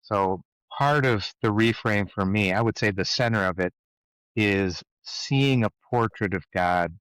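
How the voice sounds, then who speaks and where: A man's voice: lowish voice, a little slow, a bit bright, smooth, no room sound, poor audio. A man, an author, speaking thoughtfully and a little hesitantly in a casual conversation about faith. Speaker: author and guest.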